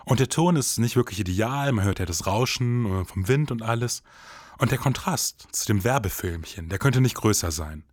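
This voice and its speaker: A man's voice: on the low side, lively intonation, moderate pace, no echo, studio quality. A man co-hosting an investigative podcast, moving between scripted dialogue and narration.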